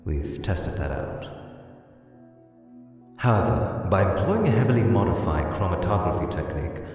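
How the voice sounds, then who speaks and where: A man's voice: low-pitched, animated, moderate pace, darkish tone. A man voicing a tall, weary skeleton scientist in a comic dub, stern and formal, lecturing with precise wording. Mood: thoughtful, explanatory. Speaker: voice actor in a fan comic dub. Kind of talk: dramatic comic dub acting. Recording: very reverberant hall, distant, music behind.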